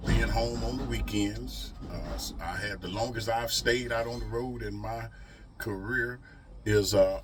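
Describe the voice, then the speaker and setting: A man's voice: sits low, distant mic, noisy background. A middle-aged man talks casually to his vlog audience in a folksy, emphatic way, repeating phrases for effect. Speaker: truck driver vlogger.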